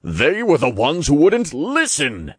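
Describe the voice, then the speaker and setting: A man's voice: fairly high pitch, wildly swooping pitch, slow pace, breathy edge, no echo, clean studio sound, voice pushed up, full character voice. Man voicing a weary, exasperated archangel. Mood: resigned.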